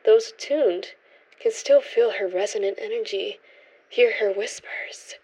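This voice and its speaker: A woman's voice: mid-range voice, a little slow, mellow, slightly rough, rough recording. A woman playing a reverent, mystical member of a witches' coven, telling stories in a radio drama.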